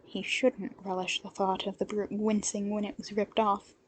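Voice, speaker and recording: A woman narrating a story in an expressive, scripted reading style. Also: mid-range voice, normal speed, husky. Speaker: podfic narrator. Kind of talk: expressive scripted fiction narration with character voices.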